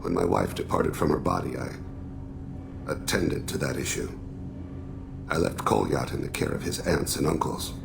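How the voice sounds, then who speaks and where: An actor playing a solemn, regretful alien assassin and father in a video game, speaking in measured, formal, introspective phrases with a gravelly voice. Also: sing-song, exaggerated voice. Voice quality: very deep, heavily gravelly. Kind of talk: video game dramatic dialogue.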